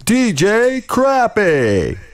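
A man's voice: high-pitched, wildly swooping pitch, drawn-out words, clean tone. A man drawing out a hyped producer tag announcement, mixed with crowd sounds. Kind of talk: shouted beat tag with crowd effect.

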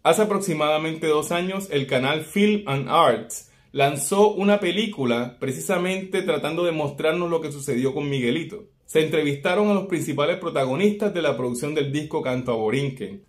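Young man, fairly high voice, earnest storyteller, small indoor room, camera mic. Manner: earnest storytelling, steady and explanatory. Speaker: video host. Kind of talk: narrated music history video.

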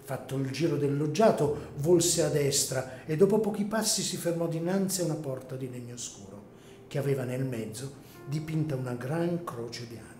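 A man narrating a gothic radio drama in a solemn, theatrical manner with deliberate phrasing. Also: mid-range voice, animated, dark tone. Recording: echoey room, music behind.

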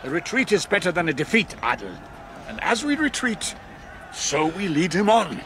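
An actor playing a shrewd, deliberate sultan and war leader who speaks with authority in a historical audio drama. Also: male voice, high-pitched, very animated, fairly bright tone. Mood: calm, calculating. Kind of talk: historical radio drama acting.

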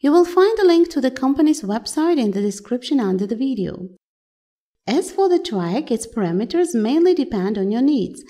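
A woman's voice: high voice, very animated, moderate pace, warm tone. A woman giving a scripted technical voice-over for an electronics tutorial, in plain, slightly stilted phrasing.

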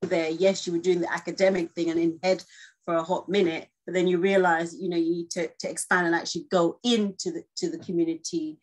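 She is a woman with a fairly low voice, conducting a relaxed conversational interview. She phrases things thoughtfully and tentatively, circling around a question as she forms it. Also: brisk, rough recording. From born in Guyana.

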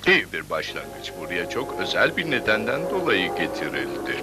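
A man's voice: low voice, huge pitch swings, normal speed, loud music behind the voice. A man voicing a small friendly magical creature who guides and narrates in a dubbed cartoon. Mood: explanatory, eager. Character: small friendly magical creature, everyone's brother, guiding storyteller.

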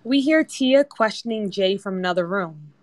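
A woman's voice: mid-range voice, animated, normal speed, no room sound. Woman narrating a screenplay, dry sound. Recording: online group voice-chat app.

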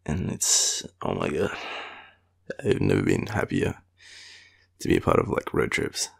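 A tired Australian man speaks in a deep, husky voice full of heavy vocal fry. His pitch swings widely as he teases and rambles in a halting, intimate boyfriend roleplay. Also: very animated. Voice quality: low, gravelly, husky. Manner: sleepy, playful, teasing, halting. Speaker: boyfriend roleplay audio performer.

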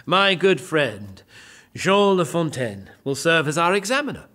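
A man playing a scheming, authoritarian bishop in an audio drama, imperious and smoothly formal. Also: on the high side, sing-song, a little slow, sharp tone, full character voice. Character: scheming, authoritarian male bishop and trial judge.

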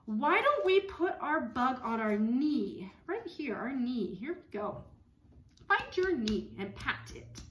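Animated, high-voiced woman teaching young children. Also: slow pace, reverberant, slight background noise. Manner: simple, repetitive, expressive child-directed speech. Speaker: speech-language pathologist leading circle time. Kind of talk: children's songs and read-aloud lesson. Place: small room at home.

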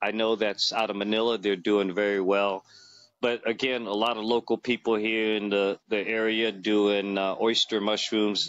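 A man's voice: on the low side, fairly bright tone, no room sound, dull audio. A man in his fifties chatting as an interview guest, rambling with many fillers and restarts, recorded on a phone held close in a small room. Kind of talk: casual interview chat. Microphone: phone camera close to face.

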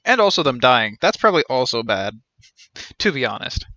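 Bright-voiced, wry man streaming games. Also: mid-range voice, very animated, normal speed, dry recording, highs cut off. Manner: joking, wry. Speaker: game streamer. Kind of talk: casual live game commentary. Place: small indoor room, seated.

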